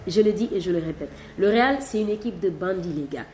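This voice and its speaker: A woman from Catalonia reviewing football matches in a casual, animated way, stressing points by repeating them. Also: on the low side, brisk, reverberant, a little hiss or hum. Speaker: football channel host.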